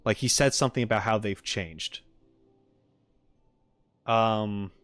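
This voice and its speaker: A man's voice: lowish voice, slow pace, a bit bright. A man streaming in a casual chat style, hesitant as he thinks aloud.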